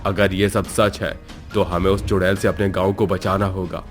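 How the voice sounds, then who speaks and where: A man's voice: low-pitched, level tone, moderate pace, faint music behind. A man voicing a concerned, resolute village headman with a grave manner in a horror story. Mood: grave resolve.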